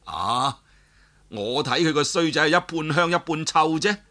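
A man with a Cantonese accent voicing a scheming, flattering young nephew in a story, glib and crude. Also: on the high side, unhurried, pitched above the performer's own voice. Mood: sly, scornful.

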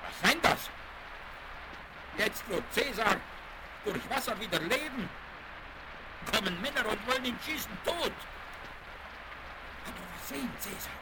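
An actor playing an excitable, devoted servant who exclaims in broken pidgin, in a children's western radio play. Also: male voice, sits high, measured pace, long pauses. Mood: alarmed, pleading. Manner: exclaiming, speaks broken pidgin of his master's language. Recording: noisy vinyl rip of radio play.

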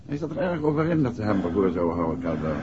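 An actor playing a shrewd, composed male detective in a 1960s radio drama, speaking Netherlands Dutch in a measured, assured way. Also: lowish voice, very animated, dark tone. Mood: confronting, composed. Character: shrewd, composed male amateur detective explaining a solved case. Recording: muffled, noisy 1960s radio recording.

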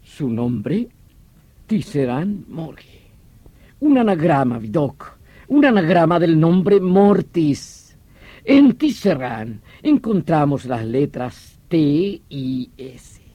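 An actor playing an excitable, irritable French police commissioner in an old radio drama, emphatic and condescending. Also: male voice, fairly high pitch, slow, dry recording, lo-fi sound, full character voice. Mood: eager, confident.

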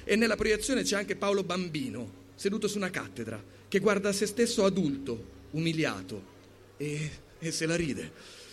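Guy reading a story real dramatic, voice a bit high, urgent, noisy behind him. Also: very animated, normal speed. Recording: clear background noise.

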